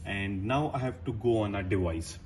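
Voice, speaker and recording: A man presenting a step-by-step how-to demonstration as a technician, with plain, halting instructional phrasing. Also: on the low side, a little mellow, slight background noise.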